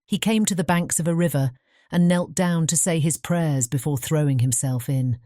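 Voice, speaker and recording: A woman's voice: sits low, normal speed, mellow, no room sound, studio quality. A woman narrating a fairy-tale audiobook in a scripted storybook style.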